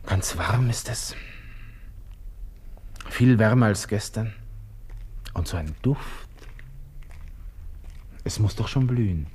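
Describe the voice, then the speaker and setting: An actor playing a melancholy, cynical young Austrian army lieutenant with a Viennese accent, murmuring wryly to himself in a radio play monologue. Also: male voice, on the low side, a little mellow, husky, exaggerated voice. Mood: brooding. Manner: musing to himself, murmured, wry. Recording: hissy old radio play recording.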